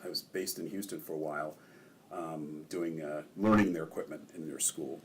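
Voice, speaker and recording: An older man from Maryland, a ward councilman, telling relaxed stories in a casual interview and chuckling often. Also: extremely low pitch, moderate pace, slight background noise.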